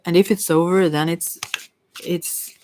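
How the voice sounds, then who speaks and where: A tarot reader talking casually and hesitantly, thinking aloud while answering questions, in a soft voice that at times drops to a whisper. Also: medium pitch, fairly even intonation, measured pace, no echo. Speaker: tarot reader hosting a live reading.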